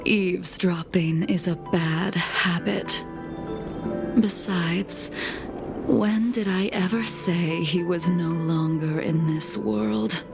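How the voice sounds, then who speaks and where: A woman playing a cold, long-lived swordswoman in a video game, speaking in a measured, detached way with a hushed, breathy voice. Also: lowish voice, fairly even intonation. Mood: cool, composed. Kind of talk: scripted video game voice acting. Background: background music.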